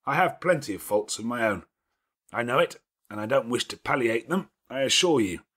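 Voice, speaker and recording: A man voicing a brusque, imperious, self-reproaching gentleman in an audiobook of a classic novel, with emphatic, commanding delivery. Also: medium pitch, animated, moderate pace, slightly rough. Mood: brooding, confessional.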